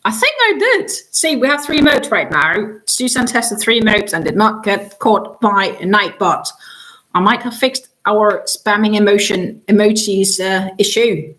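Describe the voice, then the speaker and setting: A woman's voice: mid-range voice, animated, measured pace, a bit bright, low-quality recording. Chatty woman. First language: Danish. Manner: chatty, many ums, trails off and restarts. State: tired after a long day. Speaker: crochet livestream host. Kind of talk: casual livestream chat.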